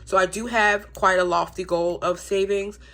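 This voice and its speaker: A woman's voice: medium pitch, moderate pace. Congested woman chatting about her budget. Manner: chatty, relaxed, frequent ums. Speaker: budgeting YouTuber. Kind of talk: casual to-camera vlog.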